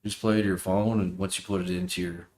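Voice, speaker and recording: A man's voice: deep voice, flat delivery, fast talker. A man co-hosting a casual stream, agreeable and informal, talking in laid-back slang. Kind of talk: casual chat. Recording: faint background noise.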